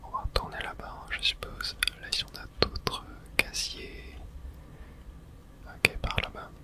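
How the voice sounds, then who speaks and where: A person whispering a breathy, unvoiced ASMR running commentary while playing a video game, in a soft, hesitant murmur. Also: measured pace. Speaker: gaming video host.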